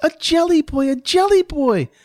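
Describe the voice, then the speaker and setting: A man voicing an excitable, sweet little boy in a storybook, with an eager, squealing manner. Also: very high voice, a little slow, no room sound, studio quality, pitched above the performer's own voice, exaggerated voice. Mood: squealing joy.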